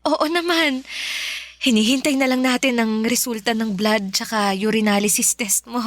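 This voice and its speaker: A woman's voice: fairly high pitch, normal speed, dry recording, natural voice. A woman acting a caring mother, dry close sound. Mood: caring, covering worry. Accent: Filipino. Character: caring, protective mother hiding her worry. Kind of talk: Filipino radio drama acting.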